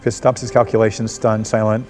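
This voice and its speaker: Man, brisk pace, screenplay narration, stage-direction reader, clear music behind the voice. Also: lowish voice, level tone, a little mellow. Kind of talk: scripted screenplay narration at a table read.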